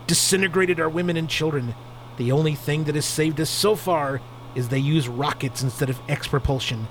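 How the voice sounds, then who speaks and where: A man's voice: mid-range voice, a little hiss or hum, close to an everyday voice, poor audio. A man playing a proud, formal alien warrior husband with stilted phrasing in a space opera radio drama.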